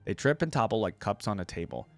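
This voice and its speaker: A man's voice: fairly low pitch, wildly swooping pitch, fast talker, gravelly, dry recording, faint music behind. A young man reading a story aloud and commenting casually as a reaction video host. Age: young adult.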